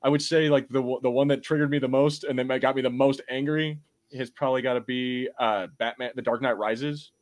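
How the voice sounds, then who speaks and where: A man with a medium-pitched voice in casual podcast talk. He hosts in a measured, conciliatory manner, pausing and rephrasing as he makes his point. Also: fairly even intonation. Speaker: podcast host.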